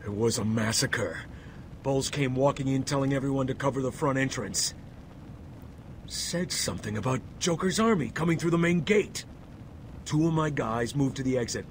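Man acting frightened asylum guard, mid-pitched male voice, video game drama, clear rumbling noise. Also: moderate pace, lightly acted. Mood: shaken, urgent.

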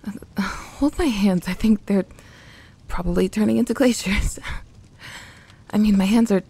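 A woman playing a young tsundere tomboy best friend in an intimate audio roleplay, grumbling and flustered but secretly affectionate, in a soft voice that often turns to whispering. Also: mid-range voice, unhurried. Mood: grumbling, shivery. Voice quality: soft, breathy.

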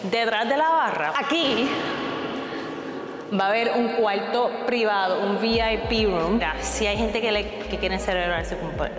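A woman's voice: mid-range voice, measured pace. A young woman with a Puerto Rican accent gives a bubbly, casual tour of an empty space, switching into English, on a lapel mic. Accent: Puerto Rican Spanish. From Puerto Rico. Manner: bubbly, mixes English into Spanish. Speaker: vlogger. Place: large empty concrete commercial space. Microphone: clip-on lapel mic. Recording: echoey bare room with long reverb, music behind.

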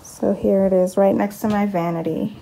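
A woman's voice: medium pitch, fairly even intonation, normal speed, creaky, dark tone, a little hiss or hum, poor audio. A young woman talks straight into a close camera in her bedroom, chatty and upbeat. Her voice sits mid-range, easy and unforced, with frequent ums and restarts. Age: young adult. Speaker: mom vlogger. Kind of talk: casual vlog chat. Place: bedroom at home, indoors. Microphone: camera in front at close range.

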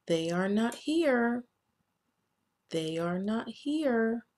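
An American woman reading a children's storybook aloud as a narrator, in a deliberate, expressive read-aloud manner. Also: a little high. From United States. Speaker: children's author reading own book.

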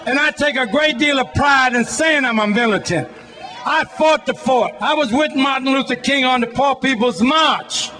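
A man's voice: very high voice, moderate pace, sharp tone. A man, giving a militant, folksy, improvised protest speech outdoors into a microphone. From Brooklyn, New York. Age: 70s. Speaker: community activist at a rally. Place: outdoor city rally stage. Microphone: handheld microphone.

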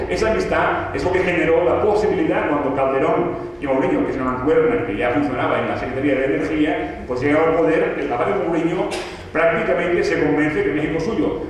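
A man in his forties talks to an audience from behind a panel table, spinning a story with animated asides. His voice sits a little high and lands a few feet from the table mic. The room is roomy and echoing, with a long tail on each word and a faint hiss underneath. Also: recorded from a distance. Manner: animated storytelling with wide hand gestures and asides. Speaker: author presenting his book. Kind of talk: lecture-style talk to an audience. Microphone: table mic on a stand.

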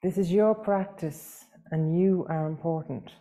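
A woman leading a guided meditation as a yoga teacher, speaking gently and soothingly with long pauses, unhurried. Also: fairly low pitch, poor audio.

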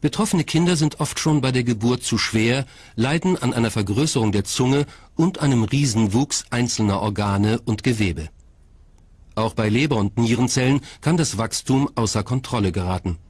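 A man's voice: medium pitch, moderate pace, darkish tone, husky, no room sound. A man reading scripted documentary narration in the role of narrator.

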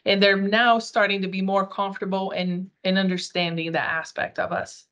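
A woman's voice: mid-range voice, flat delivery, normal speed, fairly bright tone. Portuguese-native woman, 30s, earnest outreach coordinator on a video call. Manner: earnest, upbeat, some restarts. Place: small room.

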